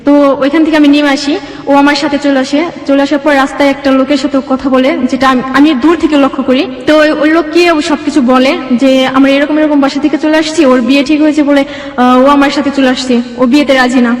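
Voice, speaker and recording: A woman's voice: high voice, little pitch movement, fast. A young Bangladeshi woman telling her own life story as an interview guest. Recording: echoey room with long reverb.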